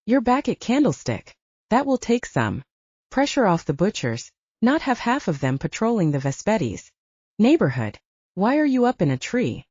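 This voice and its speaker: A woman's voice: medium pitch, big pitch swings, moderate pace, darkish tone, dry recording, voice pushed up. A woman voicing a teasing, playful young man who jokes and banters with his friend in an audiobook. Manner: joking, bantering. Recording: dull lo-fi dry recording.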